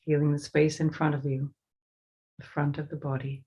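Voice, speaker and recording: A woman leading a guided meditation as a teacher, giving slow, soothing instructions with pauses. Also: deep voice, level tone, dull audio.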